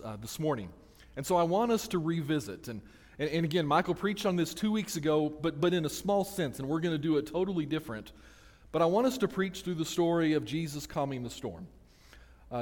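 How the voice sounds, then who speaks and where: Preacher in a big reverberant church. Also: male voice, fairly high pitch, a little mellow. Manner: conversational and self-deprecating, with restarts. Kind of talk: sermon. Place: church sanctuary. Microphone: handheld or stand microphone.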